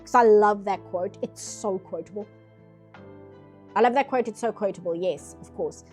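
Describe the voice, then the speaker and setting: A woman's voice: mid-range voice, normal speed, darkish tone, soft background music. A nasal-sounding woman streaming herself painting, chatting casually in rambling asides. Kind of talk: casual chat while drawing.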